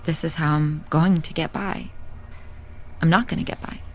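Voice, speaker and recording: A woman playing a grieving, wry hospice nurse in a naturalistic audio drama, confiding in a halting, candid way. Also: low voice, steady tone, a little hiss or hum, lightly acted. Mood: confiding, bitter. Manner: halting, confiding, dry-humored.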